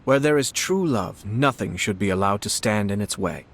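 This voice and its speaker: An actor playing a composed, courteous young noble commissioner, speaking in a measured, polite and gently probing way. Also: male voice, on the low side, animated, slight background noise, lightly acted. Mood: reassuring. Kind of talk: game dialogue voice acting.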